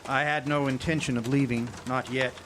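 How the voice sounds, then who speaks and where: World-weary male drifter, acted by a man, hardboiled radio drama narrator, wry and hesitant, mid-pitched, noisy open-air recording with birds. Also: flat delivery, brisk, lightly acted. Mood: smoldering, wry. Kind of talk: noir-style radio drama narration and dialogue. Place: outdoor amphitheater stage.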